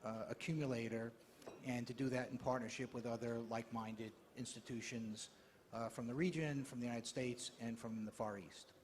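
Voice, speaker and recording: A man on a finance panel, speaking in a halting way with fillers as he lists points. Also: medium pitch, steady tone, slight background noise. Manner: halting, listing. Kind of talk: panel discussion.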